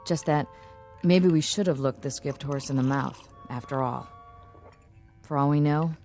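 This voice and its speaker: Uneasy, wary colleague. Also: male voice, fairly high pitch, unhurried, darkish tone, soft background music. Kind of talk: radio drama acting.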